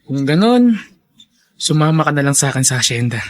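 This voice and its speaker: An easygoing young gentleman in a radio drama. His voice is on the high side, with a kind, reassuring manner and English words mixed in. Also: sing-song, brisk. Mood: kindly, curious. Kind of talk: Filipino radio drama acting.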